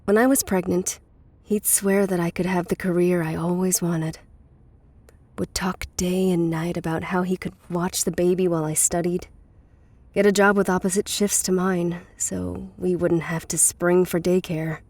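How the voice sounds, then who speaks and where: An actress playing a weary, guarded middle-aged woman with a bitter past in a naturalistic, measured audio drama performance. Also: on the low side, flat delivery, darkish tone, no echo.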